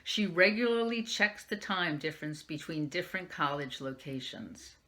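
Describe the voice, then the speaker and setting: A woman's voice: sits low, moderate pace, bright, forceful tone. A woman librarian reading a young adult novel aloud in the first person, lifting her voice for the quoted lines. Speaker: youth services librarian.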